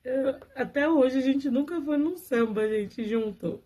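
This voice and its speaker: A woman's voice: high-pitched, measured pace, mellow. A woman telling viewers her own love story in a casual, chatty way, often laughing and talking straight to them.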